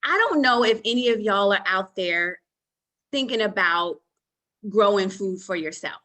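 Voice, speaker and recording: A woman's voice: mid-range voice, a little slow, pushed and bright. Emphatic woman hosting a wellness call. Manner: emphatic, repeats words, addresses listeners as sister friends. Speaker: host of a nutrition panel call. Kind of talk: motivational talk to an audience.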